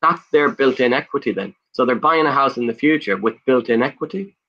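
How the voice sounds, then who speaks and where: A man with an Irish accent, whose first language is Irish, talking fast and eagerly as a guest on a video call, rattling off lists in a pushy sales pitch. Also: expressive, dull audio. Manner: fast, pushy, lists things off in rapid bursts. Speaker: guest, property investor. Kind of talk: enthusiastic sales pitch in conversation.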